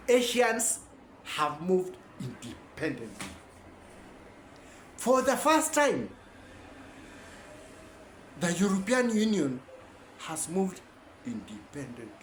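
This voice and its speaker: A man's voice: fairly high pitch, roomy sound, a little hiss or hum. A man giving a rambling, self-correcting informal lecture.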